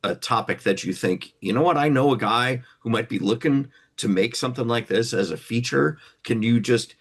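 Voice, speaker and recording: A man's voice: mid-range voice, moderate pace, a bit bright, slightly rough. A man asking questions in a casual, conversational interview.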